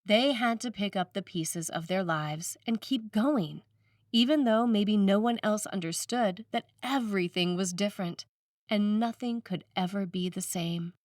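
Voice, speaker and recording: A woman's voice: medium pitch, a little slow, no echo, far from the mic. Woman reading a sermon, dry sound. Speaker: priest reading a written sermon.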